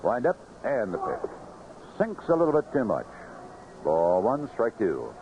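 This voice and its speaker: An American man calling baseball play-by-play live on the radio in clipped phrases, in an animated manner. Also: medium pitch, unhurried. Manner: animated calls rising sharply on big plays, clipped phrases. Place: ballpark broadcast booth. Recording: noisy, muffled vintage AM radio broadcast.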